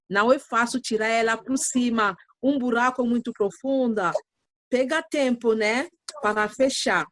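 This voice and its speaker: A woman's voice: on the high side, dry recording. Hesitant woman with a dry, close sound. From Democratic Republic of the Congo. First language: not Portuguese. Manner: hesitant, searching for words with fillers. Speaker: guest. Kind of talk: interview answer.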